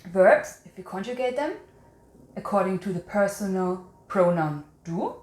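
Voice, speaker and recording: A woman's voice: medium pitch, darkish tone, roomy sound. A woman teaching a language quiz to viewers, instructive, repeating and carefully enunciating her example sentences. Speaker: language teacher and channel host.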